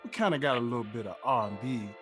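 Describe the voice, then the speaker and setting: A man hosting a casual podcast, talking in a smooth, laid-back radio-style way and often adding "you know what I'm saying.". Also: mid-range voice, lively intonation, fast talker. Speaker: podcast host. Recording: music playing underneath.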